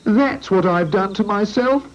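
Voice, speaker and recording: A man voicing a young male character who is sorry for himself, in a very high, plaintive voice, on a lo-fi storybook recording. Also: a little slow. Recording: lo-fi old recording.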